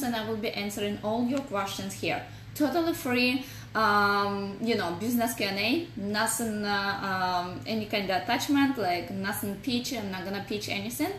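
A woman's voice: mid-range voice, a bit bright. A woman in her thirties with a strong Russian accent, a business coach talking casually on a live stream, greeting viewers and using many fillers. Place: home office, indoors. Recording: echoey room with faint noise.

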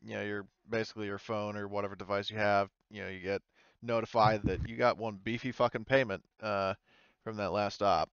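A man's voice: lowish voice, fairly even intonation, brisk, fairly bright tone, clear, resonant tone, no room sound, dull audio. A man running a tabletop game as game master, narrating casually and off the cuff in colloquial language.

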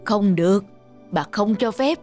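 A woman playing an elderly, caring grandmother in a cartoon, gently coaxing. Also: mid-range voice, expressive, a little slow, close to an everyday voice. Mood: earnest, urging. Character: elderly, caring, self-sacrificing grandmother street vendor. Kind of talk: cartoon voice acting. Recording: music behind the voice.

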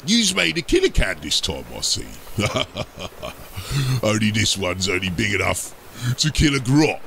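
A brutish, exasperated ork engineer from a sci-fi storybook. The voice is heavily gravelled, hard-edged and wildly swooping in pitch. He bellows and grumbles in crude slang, like a scheming boss. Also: big pitch swings, measured pace, sharp tone, slight background noise, heavily acted. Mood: wary, grumbling. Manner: rough, bellowing, ungrammatical slangy speech. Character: gruff exasperated male ork mechanic chief, crude and scheming.

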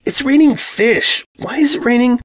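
A man voicing a cheeky, excitable pony mare with a teasing manner in a storybook reading. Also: extremely high pitch, normal speed, voice pushed up. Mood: alarmed. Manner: teasing, snickering.

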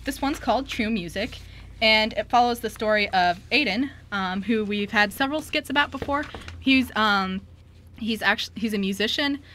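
A woman's voice: medium pitch, pushed and bright, a little hiss or hum. A woman hosting a radio show in casual, joking, rambling banter. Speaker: radio show host and skit writer.

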